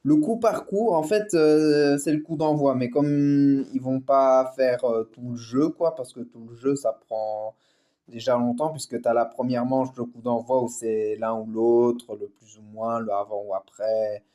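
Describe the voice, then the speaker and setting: A man's voice: mid-range voice, steady tone, unhurried, mellow. A man hosting a casual game show reaction video, rambling with many fillers. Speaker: YouTube reaction host.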